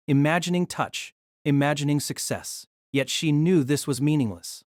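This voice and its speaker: A narrator reading a novel aloud in an expressive storytelling manner. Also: mid-range voice, moderate pace, no echo, clean studio sound.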